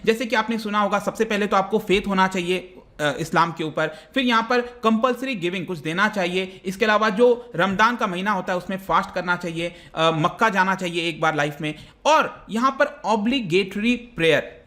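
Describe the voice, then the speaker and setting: A man's voice: high-pitched, pushed and bright. A man with an Indian accent giving an explanatory current-affairs lecture as a teacher, conversational and step by step, mixing in English terms. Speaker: online exam-prep teacher.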